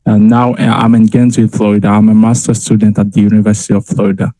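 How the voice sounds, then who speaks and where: Dark, flat-voiced man from Haiti. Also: fairly low pitch, fast. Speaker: master's student participant. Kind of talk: self-introduction on a video call. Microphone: video call.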